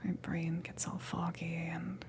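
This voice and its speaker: A woman playing a flustered, self-conscious alien crewmember in an ASMR roleplay, breathy and stammering, smitten with the listener. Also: on the low side, little pitch movement, noisy background, natural voice. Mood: self-conscious, agitated. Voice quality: breathy, creaky, often whispered. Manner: hesitant, stammering, slow, awkward.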